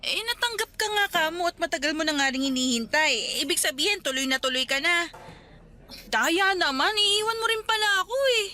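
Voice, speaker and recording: Young filipino girl in a radio love drama, bright high voice, emotional and hesitant. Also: animated, brisk, heavily acted. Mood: indignant, hurt. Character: young Filipina woman in love, guarded and anxious. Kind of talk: Tagalog radio romance drama acting.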